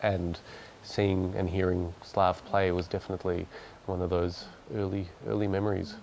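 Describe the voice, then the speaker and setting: Soft-spoken man in his thirties. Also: deep voice, little pitch movement, moderate pace, gravelly, slight background noise, low-quality recording. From Australia. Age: 30s. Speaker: guest musician. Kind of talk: casual interview chat.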